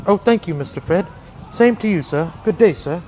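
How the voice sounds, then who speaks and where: A man plays a humble Victorian office clerk in a radio drama. The voice is on the high side, and the manner is meek, kindly and polite, always eager to obey. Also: animated, normal speed, a little mellow. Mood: deferential, apologetic.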